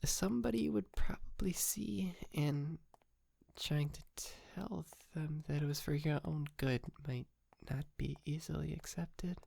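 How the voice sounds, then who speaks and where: A man playing a polite, sheepish, wealthy young yandere kidnapper in an ASMR roleplay, speaking softly and hesitantly with stammers and breathy whispered moments. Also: fairly high pitch, measured pace, no echo, heavily acted. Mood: sheepish, earnest.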